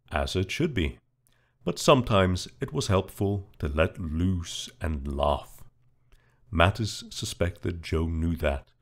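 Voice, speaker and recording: A man giving a dramatic reading of a children's book in a slow, deadpan storytelling manner. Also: low voice. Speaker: reader.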